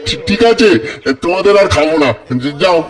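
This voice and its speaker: A man voicing a gullible, excitable and boastful village weaver in a folk-tale cartoon, with exaggerated theatrical delivery. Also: high-pitched, moderate pace, close to an everyday voice. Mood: indignant, then impressed. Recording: loud music under the voice.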